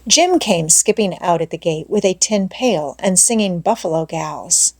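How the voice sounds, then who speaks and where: A woman narrating an audiobook, reading a scripted story aloud in a measured, expressive way. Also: fairly low pitch, husky.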